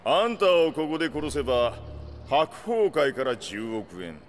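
Slow-drawling, gloating gangster villain. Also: male voice, on the high side, very animated, a little hiss or hum. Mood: menacing, gloating. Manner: mocking, drawling, theatrical. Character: gloating sadistic gangster boss, greedy and taunting. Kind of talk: dramatic video game villain acting.

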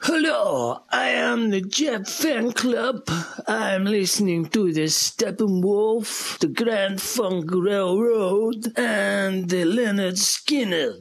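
A woman playing an indignant, threatening fan club spokesperson in a comedy radio sketch, speaking in an ominous, deliberate way. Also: mid-range voice, vocal fry, slightly rough, heavily acted.

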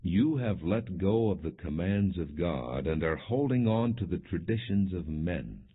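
Low-voiced guy reading the bible like an audiobook, solemn and measured, bit husky, muffled narrow sound. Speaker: audiobook narrator. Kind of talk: scripted narration, non-dramatized Bible reading.

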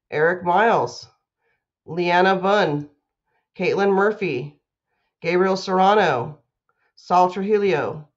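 School principal, female, unhurried delivery for young listeners, dull computer-mic recording. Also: lowish voice. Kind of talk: children's read-aloud and announcements.

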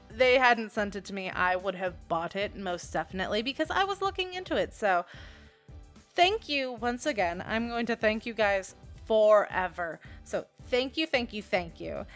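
A young woman with a fairly high voice talks off the cuff to her viewers. Her manner is informal, giggly and self-deprecating. Music plays softly behind her casual rambling. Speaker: video creator reviewing software. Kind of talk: casual rambling chat to viewers over a screen recording.

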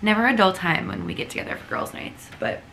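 A woman's voice: mid-range voice, quick pace, creaky, pushed and bright. A young woman vlogging casually to camera from a hotel bed, her voice scratchy, her talk chatty and gushing. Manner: chatty, gushing, filler-heavy. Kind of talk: casual vlog chat to camera. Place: hotel bedroom, sitting up in bed.

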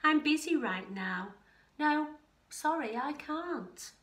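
Female reader as timid storybook koala, reluctant and apologetic, high voice, roomy sound. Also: expressive, slow, a bit bright, exaggerated voice. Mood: nervous, apologetic. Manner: hesitant, apologetic. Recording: roomy, echoing home living room.

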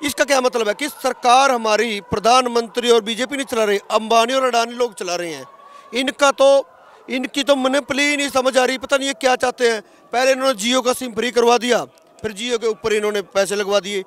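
Haryanvi guy in his 30s, really high voice, protesting farmer talking politics, repeats himself to hammer points home. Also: steady tone, fairly quick, fairly bright tone. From Haryana, India. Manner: emphatic, repetitive, argumentative. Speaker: protesting farmer being interviewed. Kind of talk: street interview, impassioned political talk. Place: outdoors at a roadside protest camp. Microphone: handheld interview microphone.

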